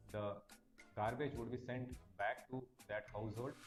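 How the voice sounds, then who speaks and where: A man's voice: medium pitch, fairly even intonation, normal speed. A man with an Indian accent giving a formal explanation as a municipal official. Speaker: municipal commissioner. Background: music.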